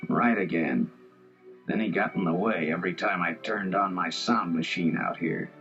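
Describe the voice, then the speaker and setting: A deep-voiced, sly thief, greedy and smug. He gloats like a storybook villain, on a dull lo-fi tape with music clearly behind him. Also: moderate pace, fairly bright tone. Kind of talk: children's audio storybook acting.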